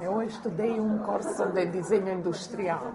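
Croatian woman, halting non-native Portuguese. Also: mid-range voice, fairly even intonation, loud music behind the voice, over a noisy crowd. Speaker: interview guest. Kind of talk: casual interview chat.

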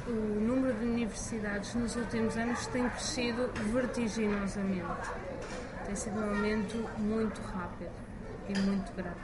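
Portuguese woman teacher over loud noise. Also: mid-range voice. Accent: European Portuguese. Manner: measured, hesitant with many fillers. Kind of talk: interview answers.

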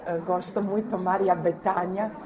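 A woman from Croatia being interviewed, speaking Portuguese with a Croatian accent, pausing to search for words and laughing often. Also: on the low side, fairly even intonation, crowd noise. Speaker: guest. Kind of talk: casual interview chat.

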